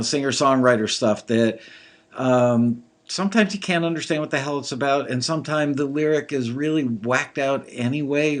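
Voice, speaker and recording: A man with a slightly gravelly voice giving casual live commentary on listeners' songs, thinking aloud with lots of fillers. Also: medium pitch. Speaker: music industry reviewer critiquing listener songs.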